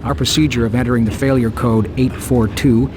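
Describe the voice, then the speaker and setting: An actor playing a strained, dutiful engineering manager dictating formal audio logs in a video game. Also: male voice, mid-range voice, fairly even intonation, fairly quick, background noise, close to an everyday voice. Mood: formal, matter-of-fact. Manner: formal, businesslike log dictation.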